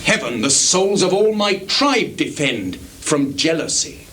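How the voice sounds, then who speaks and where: An actor playing a scheming, falsely humble soldier in a live Shakespeare reading, speaking deliberately with theatrical projection and dramatic pauses. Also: male voice, on the high side, very animated. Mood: insinuating. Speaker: stage actor. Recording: noisy, hissy 1980s live theatre recording.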